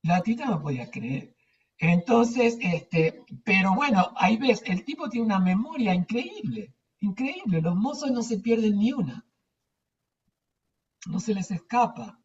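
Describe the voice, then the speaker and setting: A woman's voice: mid-range voice, lively intonation, measured pace, a little mellow. An older woman with an Argentine accent, telling anecdotes casually in a rambling, emphatic way with repetitions. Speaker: theatre teacher. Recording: distant, dull muffled microphone.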